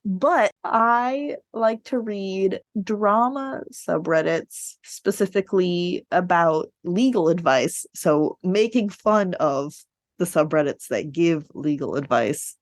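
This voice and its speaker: A woman chatting casually as a podcast co-host, telling things in an animated, digressive way. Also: fairly low pitch, slow pace, no echo. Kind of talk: casual book discussion chat.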